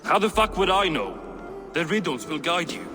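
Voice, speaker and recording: An actor playing a gruff, sly dwarf smith of magic in a video game, blunt and salty with a wry streak. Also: male voice, high voice, expressive, moderate pace, pushed and bright. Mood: gruff, irritable. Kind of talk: video game cutscene acting. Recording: reverberant hall with music behind.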